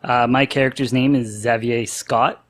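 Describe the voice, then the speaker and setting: A man's voice: mid-range voice, steady tone, normal speed. A man chatting casually and jokingly as a player on a tabletop role-playing podcast, often poking fun at himself. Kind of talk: casual chat describing a game character.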